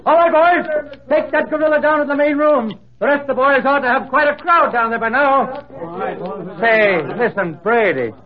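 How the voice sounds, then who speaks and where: A man's voice: very high-pitched, animated, normal speed. An actor playing a tough male crime boss in an old radio drama, barking orders. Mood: gruff. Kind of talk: 1930s radio drama acting. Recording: tinny old radio recording with crowd.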